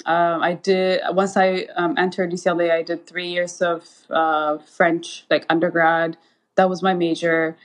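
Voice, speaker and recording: A woman's voice: lowish voice, flat delivery, unhurried. A woman from Ethiopia, in her thirties, a guest recounting her path in a casual interview, talking with many fillers and restarts. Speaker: guest, anesthesiologist.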